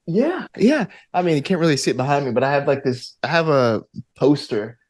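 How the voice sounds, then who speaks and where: A man's voice: fairly high pitch, lively intonation, brisk, dry recording. Enthusiastic geeky man chatting, dry close sound. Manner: enthusiastic, geeky, rambling tangents. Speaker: podcast guest, actor and tabletop gamer. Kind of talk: casual interview chat.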